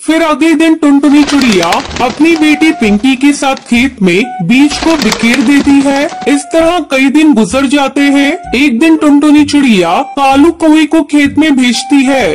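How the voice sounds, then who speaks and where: A woman narrates a children's cartoon tale from a script. Her voice is high in pitch. Music is clearly present behind the storytelling. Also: unhurried, few pauses.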